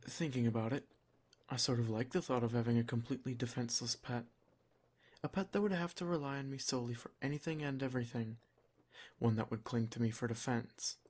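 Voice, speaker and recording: Male vampire lord for ASMR roleplay, acted by a man: soft-spoken, possessive and protective, medium pitch, measured and gently commanding. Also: natural voice. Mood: calm, possessive. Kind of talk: ASMR roleplay, scripted intimate monologue.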